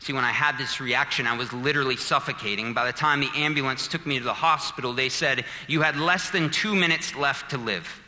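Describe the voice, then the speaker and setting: A man's voice: medium pitch, a little fast, few pauses, sharp tone, long reverb tail, rough recording. A man in his thirties preaching a sermon, telling a personal story and building to fervent, emphatic appeals. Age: 30s. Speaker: pastor.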